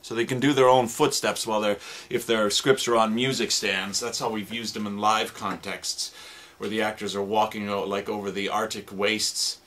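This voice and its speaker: A man who produces radio drama, demonstrating sound effect gadgets in a relaxed, explanatory way with plenty of fillers. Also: fairly low pitch, moderate pace.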